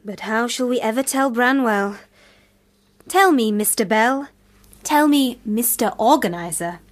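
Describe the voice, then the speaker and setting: A woman's voice: on the high side, measured pace, close to an everyday voice. A woman playing a proud, aloof, blunt literary sister in a period radio drama, speaking tersely and formally. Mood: pointed.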